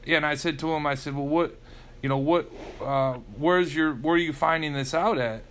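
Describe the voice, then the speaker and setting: A man's voice: a little high, normal speed, fairly bright tone, slight background noise. A man working as a public insurance adjuster asks questions on a recorded phone call, repeating words and restarting often. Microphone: telephone call recording.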